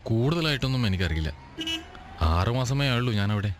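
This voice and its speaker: A man's voice: medium pitch, big pitch swings, fairly quick, lightly acted. Actor as reserved man over road noise. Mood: guarded. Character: reserved, terse male music-show acquaintance. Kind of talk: radio drama dialogue. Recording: clear vehicle noise behind voice.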